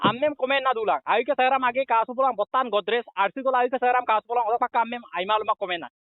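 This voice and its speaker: A man's voice: very high-pitched, quick pace, no echo. A man in a heated, slangy phone argument, confrontational and scolding, repeating himself. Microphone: phone call. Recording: muffled dry phone call recording.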